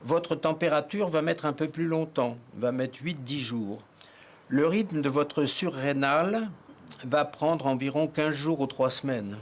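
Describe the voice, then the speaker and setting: A man's voice: a little high, a little slow. Hesitant male expert, faint noise. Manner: hesitant, with fillers. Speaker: interviewed expert. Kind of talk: documentary interview.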